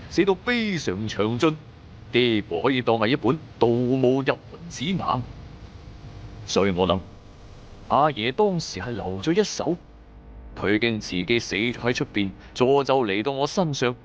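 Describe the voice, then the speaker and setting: A man's voice: mid-range voice, dull audio, slight background noise. A man narrating a scripted first-person audio drama in Cantonese-accented speech, in a colloquial, dramatic storyteller's manner. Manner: colloquial storyteller delivery with sentence-final particles.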